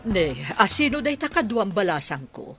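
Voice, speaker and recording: A woman's voice: mid-range voice, sing-song, normal speed, a bit bright, faint music behind. A woman with an Ilocano accent playing a put-upon, grumbling parent in a comedy radio drama. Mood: surprised, grumbling.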